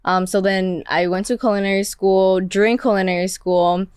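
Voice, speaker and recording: A woman's voice: mid-range voice, level tone, normal speed, a little mellow, clear, resonant tone, dry recording, clean studio sound. A young Vietnamese-born woman whose first language is Vietnamese, a chef telling her story in a casual interview, chatting with lots of "like" fillers. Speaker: chef guest.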